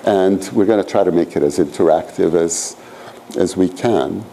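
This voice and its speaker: A man's voice: low voice, moderate pace, vocal fry, dark tone, slight background noise, rough recording. A man in his sixties from Iran, leading a graduate seminar and lecturing in a loose, rambling way full of fillers. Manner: rambling, filler-heavy, frequent "you know" and "frankly". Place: lecture room at a graduate school.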